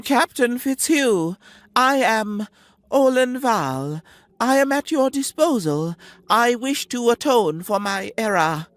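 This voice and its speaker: A woman voicing a slender, contrite alien envoy who speaks softly and melodically with formal, precise articulation. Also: on the high side, expressive, takes it slowly, dry recording. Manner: stammering when frightened.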